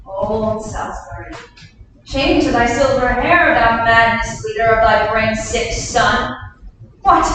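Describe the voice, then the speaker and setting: A woman's voice: sits high, a bit bright. A woman playing a pious, reproachful king in a staged Shakespeare reading, pleading theatrically. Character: pious, reproachful king betrayed by his lords. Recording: echoey hall, distant mic, faint noise.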